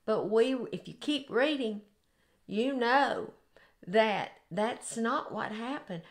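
An older woman with a Southern US accent, sharing an informal devotional in an earnest, deliberate way. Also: fairly high pitch, animated. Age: 60s or older. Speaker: host of a devotional video. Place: home kitchen, indoors.

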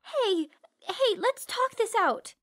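A woman playing an excitable, naive and polite young mob boss's daughter in a comedy audio drama. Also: extremely high pitch, takes it slowly, heavily acted. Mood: alarmed.

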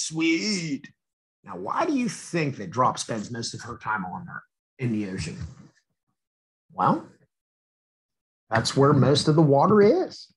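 American man reading aloud, slightly husky. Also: medium pitch. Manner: teacherly, poses questions to young listeners. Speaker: company presenter reading a children's book aloud. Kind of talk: read-aloud with explanations for children.

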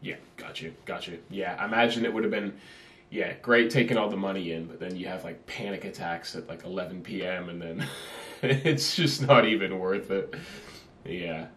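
Very deep-voiced young man hosting. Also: moderate pace. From New Zealand. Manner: relaxed and affirming, with many fillers and yeahs. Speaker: podcast host. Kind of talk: casual interview chat. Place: small office with a whiteboard. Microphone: over-ear headphones with a desk mic. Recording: echoey small room.

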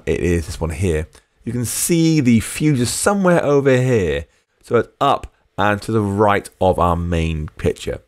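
A man's voice: low voice, no room sound, clean studio sound. A man narrating a screencast coding tutorial as a programming tutor, explaining step by step and dictating code word by word.

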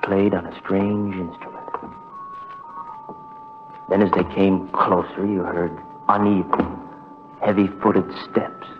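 A muffled old radio broadcast with music behind it carries a haunted young man. He tells his own story in halting, conversational phrases, with heartbreak and dramatic pauses in a 1940s radio drama manner. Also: low voice, flat delivery. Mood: uneasy, brooding. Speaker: narrator character. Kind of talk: 1940s radio drama first-person narration.

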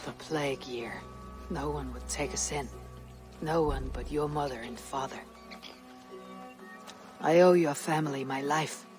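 A woman's voice: deep voice, unhurried, long pauses, music in the background. A woman playing a stern, steadfast Viking warrior in a video game cutscene. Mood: solemn, reflective. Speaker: game character actor.

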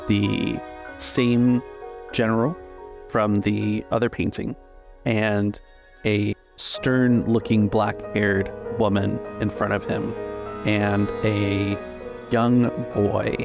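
Gravelly, slow-talking male game master. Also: fairly low pitch. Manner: measured, halting delivery with drawn-out words. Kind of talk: improvised tabletop game narration.

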